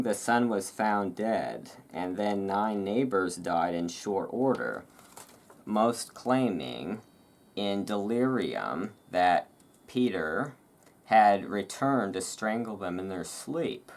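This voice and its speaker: A host reading aloud in a relaxed ASMR style, with informal, self-deprecating asides. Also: deep voice, slow. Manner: informal, self-deprecating over hard words. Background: thunderstorm ambience.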